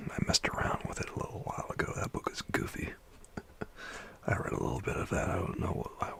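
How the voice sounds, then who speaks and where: Male streamer, low voice with gravelly fry, hushed whispered delivery, loose rambling chat with viewers. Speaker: livestreamer.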